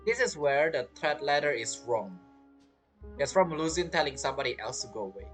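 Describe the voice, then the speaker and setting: A woman's voice: low voice, big pitch swings, fairly quick, soft background music. A woman streamer giving casual game commentary, chatty and reacting aloud in short fragments. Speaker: gaming streamer.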